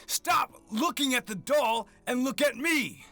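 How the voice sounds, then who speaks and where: A man's voice: very high-pitched, a little slow, bright, forceful tone, dry recording, soft background music, heavily acted. A man playing an angry, distraught father in a horror radio drama, scolding with dramatic exasperation.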